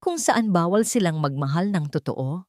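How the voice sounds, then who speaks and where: A woman plays a wealthy son in an audio romance drama, defiant and anguished, with a Filipino accent. His declarations are emphatic and swing widely in pitch. The sound is dry and close. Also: animated, moderate pace, a little mellow, no room sound, close to an everyday voice. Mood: cold, bitter.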